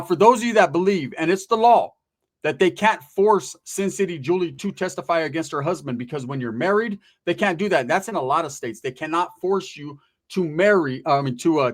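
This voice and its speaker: Emphatic higher-voiced man, street-talking commentator. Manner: street slang and swearing; emphatic, punctuated with rhetorical questions and "right?". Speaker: online commentary host. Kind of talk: informal true-crime commentary, talking straight to viewers.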